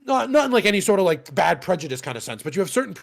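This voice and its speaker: Animated, gravelly man in his thirties. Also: high voice, very fast. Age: 30s. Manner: rambling, profane, self-mocking, laughs often. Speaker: podcast co-host. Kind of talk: casual chat.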